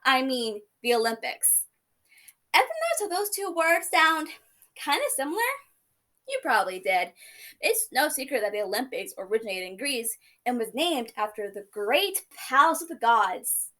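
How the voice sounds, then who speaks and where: Young woman, student speech, playful storyteller, bouncy high voice. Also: moderate pace. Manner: lively, playful storytelling with casual asides. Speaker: student giving a class speech. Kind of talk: informative speech.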